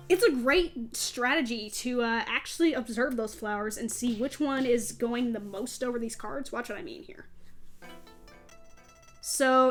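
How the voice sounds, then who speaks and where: High-voiced woman doing chatty game commentary. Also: a bit bright. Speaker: let's play host. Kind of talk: casual gameplay commentary. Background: video game music.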